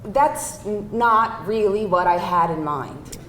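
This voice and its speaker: A woman's voice: slow, a bit bright. A woman playing an earnest, exasperated girlfriend of about thirty at a live screenplay table read, speaking firmly and expressively. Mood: hesitant. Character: earnest, exasperated girlfriend around thirty, wanting real commitment. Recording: roomy live hall, faint rumbling noise.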